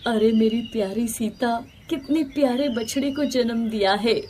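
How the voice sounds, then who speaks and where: Woman voicing an unhurried, earnest village farmer. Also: a little high, dark tone, a little hiss or hum, lightly acted. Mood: happy, tender. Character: hopeful, protective, kind village farmer man. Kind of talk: animated moral story dialogue.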